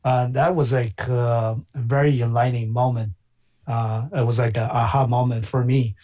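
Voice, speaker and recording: A man's voice: mid-range voice, fairly even intonation. Hesitant man, slightly dull call audio. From China. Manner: hesitant, many filler pauses. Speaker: guest, physician and investor. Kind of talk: interview conversation.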